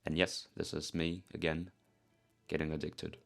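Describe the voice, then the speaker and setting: A young man from South Korea, speaking in a put-on British-leaning accent. His voice sits low and gravelly with a steady, flat delivery. He narrates a lifting vlog candidly, joking at his own expense and cursing now and then. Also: normal speed. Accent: put-on British-leaning English accent. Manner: candid and self-mocking, swears casually. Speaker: powerlifting vlogger. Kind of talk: casual voice-over narration.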